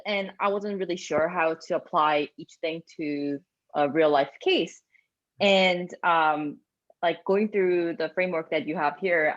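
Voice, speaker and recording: A woman's voice: lowish voice, no room sound. A young woman, a mentee in a group design session, talking eagerly and chattily with plenty of "like" and "um" on a video call. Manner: eager, chatty, lots of "like" and "um", laughs easily. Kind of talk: casual chat on a video call. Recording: dull, dry lo-fi call audio.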